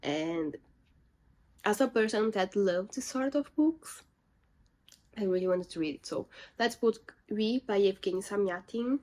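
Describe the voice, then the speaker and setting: A woman's voice: mid-range voice, a little slow. A young woman chatting casually about her books in a room lined with bookshelves, rambling and correcting herself as she goes. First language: not French. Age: young adult. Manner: chatty, self-correcting, animated asides. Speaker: book vlogger. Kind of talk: casual chat.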